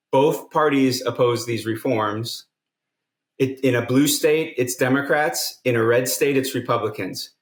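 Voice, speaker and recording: Earnest man explaining, roomy sound. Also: mid-range voice. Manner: earnest, explanatory, builds arguments step by step. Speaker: guest, nonprofit co-founder. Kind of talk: podcast interview. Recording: roomy echo.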